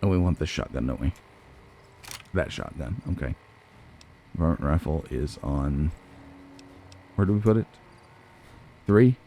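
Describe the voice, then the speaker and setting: A man's voice: rumbling low, expressive, a little slow, pauses often, warm tone, a little hiss or hum. A man giving casual let's play commentary on a video game, musing to himself and poking fun at himself. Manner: musing to himself, self-deprecating. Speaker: gaming video host, let's player.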